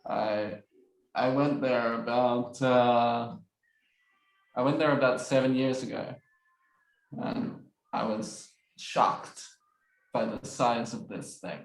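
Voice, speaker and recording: A man's voice: medium pitch, slow, pauses often. A man presenting slides as a guest speaker on a video call, repeating phrases like a patient teacher. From Australia. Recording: roomy video call audio.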